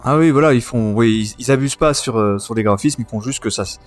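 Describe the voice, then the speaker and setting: A man hosts a casual retro gaming podcast. He talks in an informal, enthusiastic way at an average male pitch, with music playing faintly behind him. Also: fast talker. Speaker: host of a retro gaming radio show. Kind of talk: casual podcast chat about retro video games.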